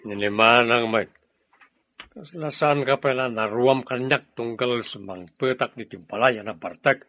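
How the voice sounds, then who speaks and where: A man's voice: medium pitch, a little slow, muffled recording, slight background noise, lightly acted. An actor playing a defiant, irresponsible hard-drinking man in a Filipino radio drama, dismissive and argumentative, with a Filipino accent. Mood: defiant, irritated.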